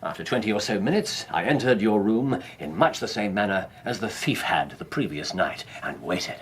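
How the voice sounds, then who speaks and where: An actor playing a theatrical, teasing English detective in a radio drama, husky, savouring his pauses and flourishes. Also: on the low side, animated. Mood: relishing storytelling. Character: theatrical, teasing English male detective, self-satisfied and playful.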